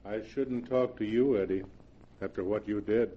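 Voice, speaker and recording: An actor playing a murdered husband's ghost in 1940s radio style, measured, mock-polite and calmly reproachful. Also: male voice, lowish voice, husky. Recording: dull, noisy vintage radio recording.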